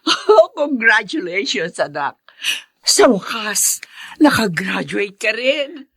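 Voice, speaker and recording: An actress playing a doting, insistent mother in a radio drama, speaking with expressive emphasis. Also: medium pitch, takes it slowly, husky, dry recording, studio quality, full character voice. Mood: proud. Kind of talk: Filipino radio drama acting.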